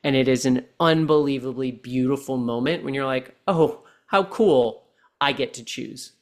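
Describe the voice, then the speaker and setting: A man in his forties, a podcast guest and coach, talking earnestly and slowing down to stress his points. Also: mid-range voice. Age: 40s. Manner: deliberate, earnest coaching tone, slows down for key points. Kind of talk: reflective podcast conversation.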